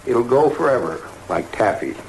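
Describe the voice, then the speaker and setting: Guy doing an old fifties tv commercial pitch, very animated, slightly noisy old audio. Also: moderate pace. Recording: noisy vintage 1950s television audio.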